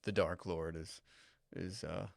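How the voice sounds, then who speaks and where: A man's voice: low voice, steady tone, slow, vocal fry, no room sound. A man co-hosting a podcast in casual chat, dry and teasing. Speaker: podcast co-host.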